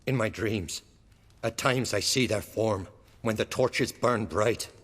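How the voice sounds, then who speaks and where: An actor playing a tormented, haunted jarl who speaks in solemn, archaic, fatalistic lines. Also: male voice, on the low side, slow pace, a bit bright. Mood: grim, haunted. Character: tormented haunted old jarl, brooding and grim. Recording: long hall reverb.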